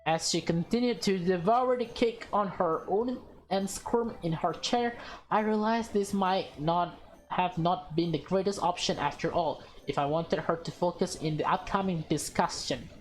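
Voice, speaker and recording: A woman reading a visual novel aloud with casual reactions, halting and stumbling over her words. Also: on the low side, soft background music, rough recording.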